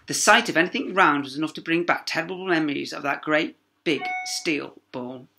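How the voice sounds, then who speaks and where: Man, bright forceful voice, children's story reading, dramatic delivery, emphatic pauses, schoolteacher manner. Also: a little high, gravelly. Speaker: primary school teacher reading to pupils.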